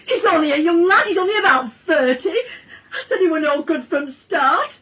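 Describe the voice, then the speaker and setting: A woman's voice: extremely high pitch, lively intonation, moderate pace, a loud crowd. An actress playing a middle-aged, distraught, accusing working-class mother with a Northern English accent, tearful and pleading in a radio drama. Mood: angry, desperate. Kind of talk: naturalistic British radio drama. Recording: dull radio drama, faint noise.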